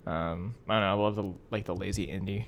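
A man's voice: deep voice, steady tone, fast, slight background noise. A young man co-hosting a podcast, talking casually with slangy, opinionated remarks. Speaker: podcast co-host. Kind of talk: casual chat.

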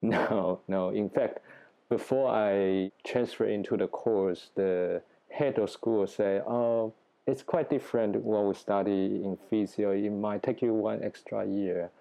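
A man from Taiwan speaks English with a Taiwanese accent, his voice low and mellow. He answers in a thoughtful, plain-spoken way, with small grammar slips of a second-language speaker. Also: fairly even intonation, unhurried, gravelly. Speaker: guest researcher. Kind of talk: interview answers.

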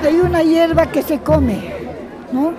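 A woman's voice: very high voice, takes it slowly. A woman with a Cusco Andean Peruvian accent, chatting casually in a street interview. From Cusco, Peru. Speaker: local festival devotee. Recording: loud music and crowd behind. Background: festival music and crowd.

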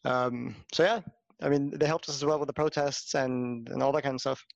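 A man's voice: mid-range voice, steady tone, a little fast, creaky, no echo. Young man with a dull, dry sound. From California. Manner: hesitant, laughs easily, fillers "um" and "like". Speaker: podcast co-host. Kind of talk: casual podcast chat.

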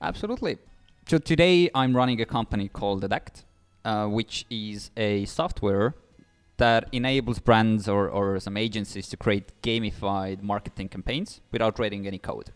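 A man's voice: lowish voice, normal speed, clear, resonant tone, faint music behind. A young man from Estonia, founder of a startup and speaking as an English speaker, explaining ideas eagerly with many fillers and restarts. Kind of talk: interview guest.

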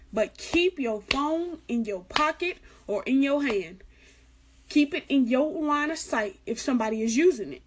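A young woman gives casual advice in a chatty vlog. She is soft-spoken, has a light, girlish voice and keeps repeating her warnings. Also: a little high. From Arkansas, United States. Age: late teens. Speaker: vlogger giving school advice.

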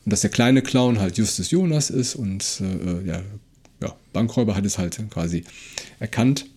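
A man with a husky, relaxed, low-lit tone speaks as a podcast co-host. He discusses and critiques in a casual style, often doubling up on words of agreement. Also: moderate pace. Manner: conversational, repeats agreeing words.

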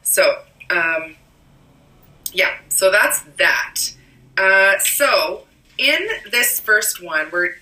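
A woman co-hosting a podcast, hoarse from a cold and coughing now and then, explaining things in a rambling way with many ums. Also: mid-range voice, animated, extremely slow, pauses often, sharp tone, distant mic. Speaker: podcast co-host. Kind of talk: casual podcast chat. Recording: roomy echo, a bit off-mic.

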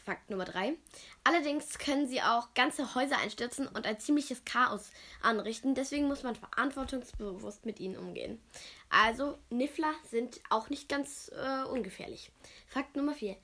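A woman's voice: fairly high pitch, normal speed, pushed and bright. A woman hosting a casual podcast, cheerful as she reads facts out in a list. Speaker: podcast host.

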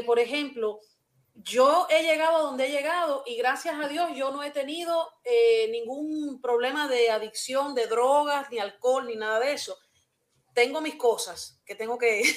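Woman hosting a talk show, high voice, earnest, keeps restarting her sentences. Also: moderate pace. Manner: earnest, hesitant, with frequent restarts. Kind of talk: personal talk-show conversation.

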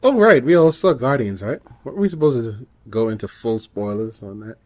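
A man's voice: medium pitch, expressive, fairly quick, no room sound. A man chatting casually as a panelist in a podcast discussion. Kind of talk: casual chat.

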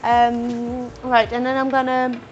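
A young woman with a self-described squeaky voice chatting casually in her home kitchen while cooking, rambling with fillers and restarts and trying to talk slowly. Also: completely flat delivery, muffled recording, a little hiss or hum. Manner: deliberately talking slowly. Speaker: cooking vlogger.

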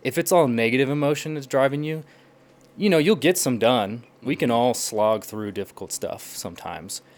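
A young man appearing as a podcast guest, explaining in an animated way and acting out little quotes as he goes. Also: medium pitch, normal speed, slight background noise. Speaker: podcast guest, language learner. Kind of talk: casual podcast chat.

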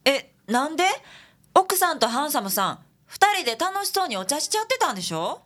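A woman's voice: high voice, big pitch swings, brisk, a bit bright. A woman playing a bubbly, inquisitive detective's assistant with a Kansai accent in a radio drama, with a teasing manner. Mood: curious. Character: perky, curious female detective's assistant.

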